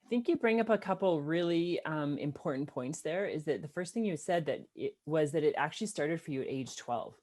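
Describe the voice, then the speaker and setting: A woman co-hosting a podcast, asking measured, thoughtful questions with occasional fillers. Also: low voice, animated, darkish tone. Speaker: podcast co-host. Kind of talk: interview questions and reflections.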